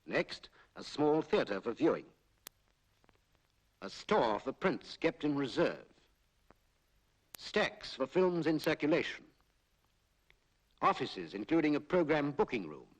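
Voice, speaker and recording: A British man narrating a 1940s public information film in a formal, measured, explanatory way, on a period film soundtrack. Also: a little high, big pitch swings, low-quality recording. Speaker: documentary narrator.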